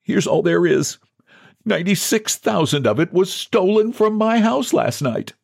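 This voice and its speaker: Man doing a desperate ruined man, high and plaintive, swinging up and down, dry close sound. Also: sing-song, measured pace, creaky, no echo, exaggerated voice. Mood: pleading. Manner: pleading, plaintive. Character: desperate, weary man who has lost everything.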